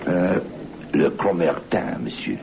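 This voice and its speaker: A deep-voiced head waiter. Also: male voice, expressive, slow, gravelly, exaggerated voice. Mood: courteous. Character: deferential male French head waiter. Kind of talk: old radio drama acting. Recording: dull old radio recording with hiss.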